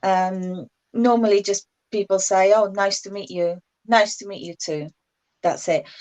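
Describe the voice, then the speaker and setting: A woman's voice: medium pitch, steady tone, a little slow, a little mellow. A woman, explaining English usage casually as a guest in a language chat.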